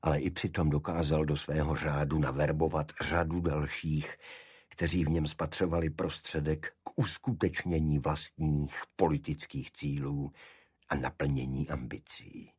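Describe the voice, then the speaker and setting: A man's voice: rumbling low, flat delivery, a little mellow, husky, dry recording, clean studio sound. A man reading a detective story as a scripted, dramatic audiobook narration.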